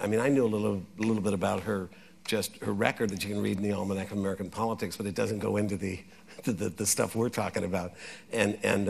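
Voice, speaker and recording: A man's voice: on the low side, vocal fry, husky. A man on a festival panel who rambles and repeats his words as he thinks aloud. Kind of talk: panel discussion on stage. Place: festival stage.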